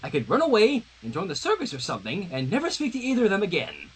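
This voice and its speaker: A man's voice: high-pitched, huge pitch swings, fast, husky, full character voice, rough recording. A man voicing a troubled, exasperated teenage girl in a cartoon fan story, weary and torn. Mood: despairing.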